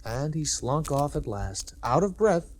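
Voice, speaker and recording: Animated male storyteller on an old record. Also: fairly high pitch, unhurried. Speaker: narrator. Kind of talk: 1960s scripted children's storytelling. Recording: old 1960s record, clear background noise.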